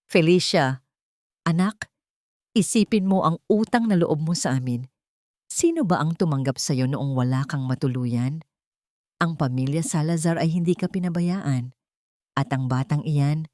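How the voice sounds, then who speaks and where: A woman voicing a manipulative older mother-in-law in an audio drama, sharp, reproachful and guilt-tripping. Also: sits low, unhurried, long pauses, no room sound, clean studio sound.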